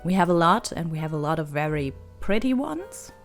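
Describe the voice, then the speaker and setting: A young woman from Germany with a hoarse, raspy voice and vocal fry, chatting through a travel vlog voice-over in a rambling, self-deprecating way. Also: sits low, very animated, fairly quick, faint music behind. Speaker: travel vlogger. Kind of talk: voice-over narration.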